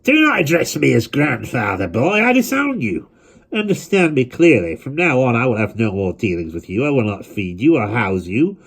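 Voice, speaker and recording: A man's voice: mid-range voice, very animated, normal speed, husky, pitched above the performer's own voice. A man voicing a stern, proud old museum keeper who speaks in a cold, clipped, formal way. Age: older.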